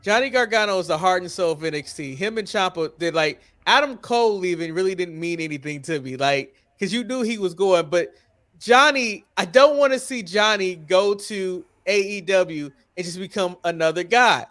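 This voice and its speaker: A man's voice: high voice, normal speed, fairly bright tone. An American man hosting a casual podcast chat, opinionated and emphatic, repeating phrases to drive his point home. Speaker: wrestling podcast host.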